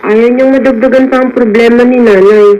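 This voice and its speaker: A woman's voice: on the high side, steady tone, fairly quick, dark tone, natural voice. A Filipino actress in a radio drama playing a dutiful, selfless teenage schoolgirl, polite and meek. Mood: meek, concerned. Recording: dull radio audio with slight noise.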